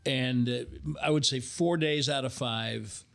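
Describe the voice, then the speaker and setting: Guy with a creaky gravelly voice, casual storytelling, keeps stopping and restarting his words. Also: mid-range voice, fairly bright tone. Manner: halting, repeats himself.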